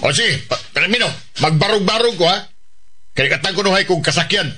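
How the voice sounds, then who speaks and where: A cocky Filipino street gang boss pitches his voice high and swings it widely. He barks short, jeering orders in a radio drama, with clear noise in the background. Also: animated, brisk, sharp tone. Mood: bossy, instructing. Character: bossy, cocky male street pickpocket gang leader.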